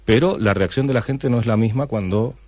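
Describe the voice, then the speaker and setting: A man's voice: fairly low pitch, a little fast, a little mellow, dry recording. A middle-aged man from Argentina, a cartoonist guest answering radio interview questions with many fillers and restarts.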